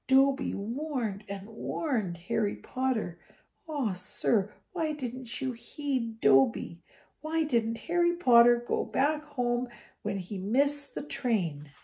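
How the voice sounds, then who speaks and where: A small, anxious house elf in a storybook, his voice pitched high and squeaky, breaking into meek pleas and whispers, as a woman puts him on. The tone underneath stays dark and mellow. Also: animated, takes it slowly, vocal fry, full character voice. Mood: miserable whisper. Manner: squeaky, pleading, sometimes whispering.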